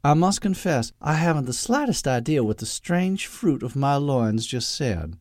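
A man's voice: fairly high pitch, sing-song, a little slow, darkish tone, no room sound, clean studio sound, voice pushed up. A man voicing a formidable Southern belle mother in her sixties, with a sing-song, theatrical and imperious manner. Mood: grandly charming. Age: 60s.

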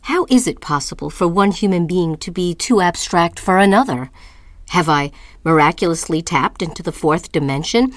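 A woman's voice: lowish voice, animated, moderate pace, no room sound, lightly acted. Woman acting an anguished, defiant woman writer. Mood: indignant, wry. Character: anguished, defiant woman writer defending her identity and work. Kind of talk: theatrical audio-drama monologue.

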